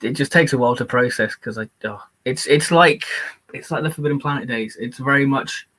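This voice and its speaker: A man at a middling pitch talks casually on a live-stream, rambling with lots of fillers. He is excited about his topic. His voice is going hoarse from talking. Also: low-quality recording. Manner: rambling and enthusiastic, many fillers. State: losing his voice.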